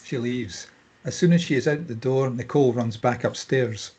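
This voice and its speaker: Husky man reading screenplay directions. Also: medium pitch, moderate pace, darkish tone. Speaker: stage directions reader at a table read. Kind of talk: scripted narration of screenplay directions.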